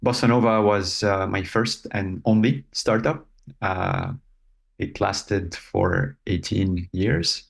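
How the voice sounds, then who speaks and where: A man talks in a measured, thoughtful way, pausing often as he gathers his words. His voice sits on the low side with a gravelly, creaky edge. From Lebanon. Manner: measured, thoughtful, with frequent filler pauses. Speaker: guest, robotics company founder. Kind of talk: casual interview talk.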